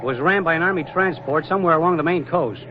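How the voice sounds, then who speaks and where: A brisk Navy lieutenant acted by a man, rather high in voice, earnest and deferential to his superiors. The 1940s radio drama recording is dull and quite noisy. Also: close to an everyday voice. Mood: earnest, explanatory. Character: brisk, dutiful young male Navy lieutenant, admiral's aide.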